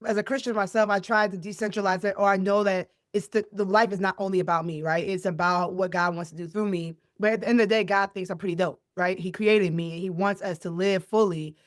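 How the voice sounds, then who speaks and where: A woman's voice: mid-range voice, steady tone, fast, few pauses, no room sound, studio quality. A woman from Chicago, around her thirties or forties, talking casually as an interview guest, playful, emphatic and slangy. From Chicago, United States. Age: middle-aged millennial, 30s to 40s. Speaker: podcast guest, wellness coach and motivational speaker.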